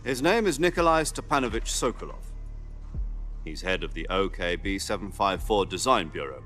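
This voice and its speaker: An actor playing a seasoned, stern military commander who gives measured, authoritative briefings in a cinematic video game. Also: male voice, low-pitched, expressive, fairly bright tone, faint music behind.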